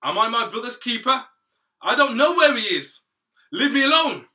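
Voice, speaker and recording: A man voicing Cain, a distraught young man, with high strained wailing pleas. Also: big pitch swings, normal speed, pushed and bright, exaggerated voice. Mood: defiant. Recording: voice from a distance.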